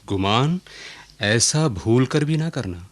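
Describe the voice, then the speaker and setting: A man's voice: medium pitch, lively intonation, slow pace. An actor playing an affectionate but firm middle-aged merchant, a husband and father, in a radio play. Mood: firm warning. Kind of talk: Hindi radio play acting.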